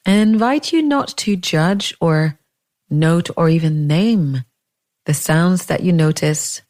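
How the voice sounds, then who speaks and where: A woman leading a guided meditation in a gentle, unhurried way, leaving long pauses between phrases. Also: lowish voice.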